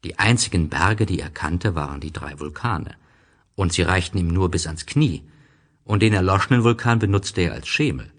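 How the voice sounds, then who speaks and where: A man's voice: low voice, moderate pace. A man narrating a scripted storybook tale.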